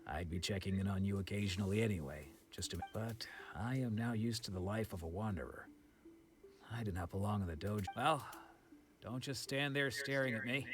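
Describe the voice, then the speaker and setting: An actor playing a stern, composed wandering swordsman in an anime game, blunt but caring, firm and measured. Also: fairly low pitch, over music, close to an everyday voice. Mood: gruffly reassuring. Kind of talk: anime video game voice acting.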